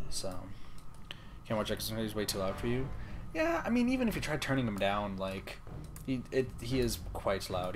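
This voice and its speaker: Soft-spoken young man near a whisper. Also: fairly low pitch, background noise. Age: young adult. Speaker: streamer. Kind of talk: casual gaming stream chat. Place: room at home, late at night.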